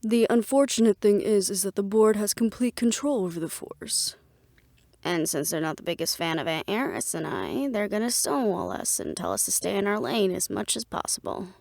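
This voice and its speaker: An actress plays a guarded, businesslike woman in a supernatural audio drama. Her voice sits at an average female pitch, with serious, measured delivery. The recording is very dry, with hardly any room sound. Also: lively intonation, vocal fry, darkish tone, dry recording. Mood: earnest, conspiratorial. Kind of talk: audio roleplay drama, scripted dialogue.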